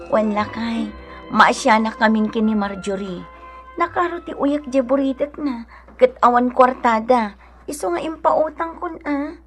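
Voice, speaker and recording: A woman's voice: on the high side, unhurried, close to an everyday voice. A woman playing a kind, generous village wife with an Ilocano accent in a radio drama, speaking with earnest care. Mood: gentle. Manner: earnest, caring. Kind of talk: Filipino radio drama acting. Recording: radio drama with music underneath.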